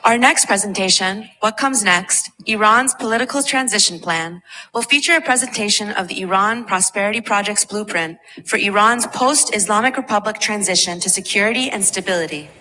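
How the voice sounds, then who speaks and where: Bright-voiced woman moderator in hall. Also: medium pitch, brisk. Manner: crisp, scripted introductions. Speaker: conference moderator. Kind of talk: formal announcement. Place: conference hall. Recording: long hall reverb, faint noise.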